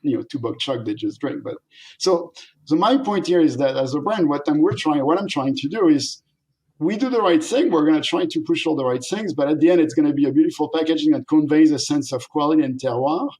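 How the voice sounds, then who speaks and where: Middle-aged French-accented man, animated guest, slightly high voice. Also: fairly quick, rough recording. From France. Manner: animated, laughs often, acts out quoted voices. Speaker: guest, company founder. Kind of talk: casual interview conversation.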